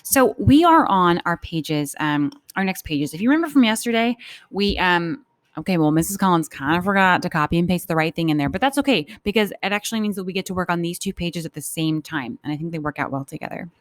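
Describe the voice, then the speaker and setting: A woman's voice: on the low side, expressive, fast, dry recording. A woman teacher reading a story aloud for children and explaining it informally as she goes. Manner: informal, explanatory, acts out thoughts.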